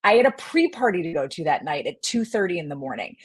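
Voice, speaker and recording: A woman's voice: low voice, quick pace, husky, no room sound. A woman in her forties from Wisconsin, a sports journalist guest, telling stories with lots of laughs. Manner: animated storytelling, laughs often. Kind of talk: casual chat.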